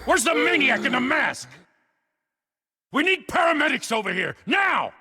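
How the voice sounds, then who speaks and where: Shouting, high-pitched policeman. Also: big pitch swings, moderate pace, big-room sound, heavily acted. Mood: urgent, angry. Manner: shouting orders. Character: frantic, commanding police officer at a crime scene. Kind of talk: video game acting.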